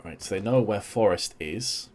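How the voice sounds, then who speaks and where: A man's voice: lowish voice, lively intonation, moderate pace, background noise. A young man casting a live esports match, his energy rising and falling with the action. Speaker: esports caster. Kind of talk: live play-by-play commentary.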